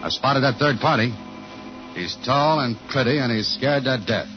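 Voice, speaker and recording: A man's voice: mid-range voice, moderate pace, over music, lightly acted. An actor playing a dogged, hard-boiled male investigator in 1950s radio noir, terse and insistent. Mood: terse, probing. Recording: dull old radio broadcast.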